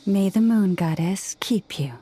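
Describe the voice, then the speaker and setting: A woman playing a serene, solemn priestess leader in a video game, speaking gently in formal, measured phrases. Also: medium pitch, slight background noise, lightly acted. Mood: solemn, reassuring. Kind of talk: video game character acting.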